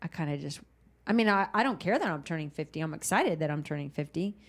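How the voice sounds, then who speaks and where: A woman's voice: low voice, lively intonation, fast talker. A woman in her fifties hosting a podcast, chatty and encouraging, laughing often with her guests. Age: 50s. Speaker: podcast host. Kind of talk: casual chat.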